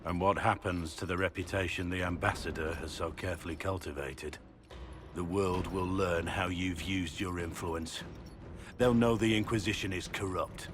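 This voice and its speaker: An actor playing a remorseful middle-aged warrior prisoner in a dramatic video game, solemn and heavy-hearted. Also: male voice, deep voice, steady tone, normal speed, noisy background, natural voice. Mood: bitter, ashamed.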